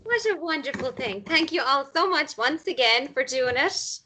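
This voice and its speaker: A woman's voice: sits high, animated, normal speed, crowd noise. A woman in casual chat, speaking as the writer hosting a table read.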